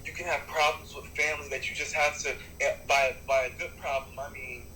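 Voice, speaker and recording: A man who is a rapper and artist gives long, animated interview answers, often restarting his sentences and swearing for emphasis. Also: mid-range voice, brisk, echoey room, slight background noise, low-quality recording. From United States.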